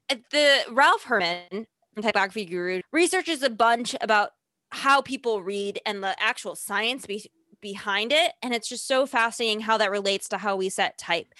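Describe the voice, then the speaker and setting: Bright-voiced woman with a Chicago accent. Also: fairly high pitch, no room sound, studio quality. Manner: enthusiastic, explanatory. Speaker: podcast co-host. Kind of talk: casual podcast chat.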